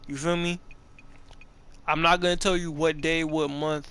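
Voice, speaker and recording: A man's voice: a little high, flat delivery, moderate pace, a bit bright, a little hiss or hum. A man of about twenty talking casually and profanely over gameplay, rambling with many restarts and slang. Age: 20s. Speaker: gaming video creator.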